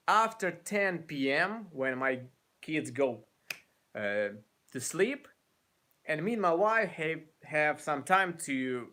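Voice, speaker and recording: A man of twenty-seven with a Ukrainian accent, chatting casually on a live stream from a small room, animated and often restarting his sentences. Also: fairly high pitch. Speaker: guitar YouTuber. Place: small room by a brick wall, indoors.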